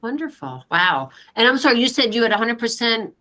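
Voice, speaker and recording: A woman's voice: on the high side, big pitch swings, a little fast, sharp tone. A woman interviewing a guest, encouraging him with frequent short reactions. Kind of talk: interview questions.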